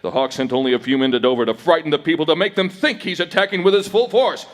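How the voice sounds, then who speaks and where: An actor playing a masked Western hero who urgently warns an army officer, in a stage reenactment of an old-time radio drama. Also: male voice, fairly high pitch, quick pace, in a large hall, lightly acted. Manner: forceful, declamatory.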